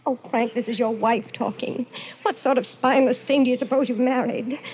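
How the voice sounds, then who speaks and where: Female actor as devoted wife in peril: high, pleading, unshakable, noisy 1940s radio sound. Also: steady tone, brisk, darkish tone, lightly acted. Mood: desperate. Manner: fervent, pleading, insistent. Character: devoted, stubborn, lovestruck young wife in danger. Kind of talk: 1940s radio melodrama acting. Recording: muffled, noisy old radio recording.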